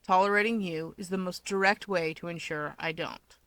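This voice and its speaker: A woman's voice: on the low side, normal speed, fairly bright tone, dry recording. A woman voicing a taunting, dismissive young man with a grudge in a fan fiction audiobook. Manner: sardonic, dismissive.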